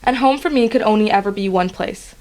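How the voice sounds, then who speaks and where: A young woman from Guyana, a podcast guest, reading her college admissions essay aloud in an earnest, steady way. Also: mid-range voice, brisk, low-quality recording. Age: young adult.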